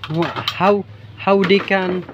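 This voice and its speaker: A man from the Philippines with a Hiligaynon-flavored Filipino accent and Hiligaynon as his first language, narrating over nature footage in English, halting and repeating words as he hunts for the right phrase. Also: high voice, steady tone, a bit bright, lo-fi sound, slight background noise. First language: Ilonggo (Hiligaynon). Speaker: vlogger filming larvae in a field.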